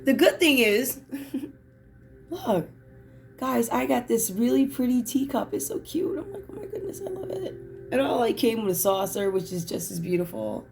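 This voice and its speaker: Wanita suara serak, pembaca tarot. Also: female voice, fairly high pitch, sing-song, normal speed, creaky, soft background music. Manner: chatty, reflective, many fillers. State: hoarse. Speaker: tarot reader. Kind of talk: casual tarot reading.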